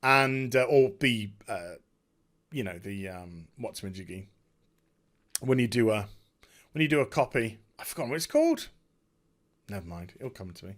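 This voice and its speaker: A man hosting a gaming video, giving a casual, unscripted recap in a halting, digressive way and often correcting himself. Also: on the low side, lively intonation.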